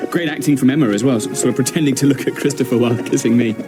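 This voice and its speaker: A man's voice: medium pitch, rapid-fire, over loud music, lightly acted. An actor playing a hesitant, eager-to-please man in a radio sitcom, with an awkward, polite, stumbling manner.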